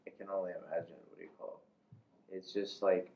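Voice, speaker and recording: Guy doing a casual interview, low flat voice, rambles a bit, kinda muffled room. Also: quick pace, recorded from a distance. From United States. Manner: informal, rambling, repeats filler phrases. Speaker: interviewer, host. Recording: echoey room, muffled, faint noise.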